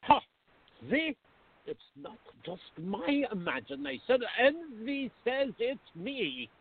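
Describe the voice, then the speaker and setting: A man playing an exasperated, excitable, easily offended friend in a radio drama, complaining with indignant, drawn-out emphasis. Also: very high voice, creaky, full character voice. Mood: incredulous. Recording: thin, phone-like band-limited audio.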